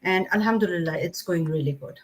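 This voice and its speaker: A woman's voice: fairly low pitch, fairly quick. A middle-aged woman speaking candidly and a little hesitantly as a guest on a video call. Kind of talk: casual video call interview.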